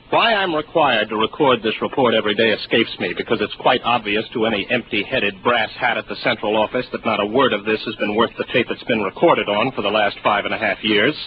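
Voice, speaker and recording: An actor playing a lonely, sardonic young space officer in a 1950s radio drama, talking in a dry, rambling way. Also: male voice, lowish voice, lightly acted. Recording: dull old 1950s radio broadcast with hiss.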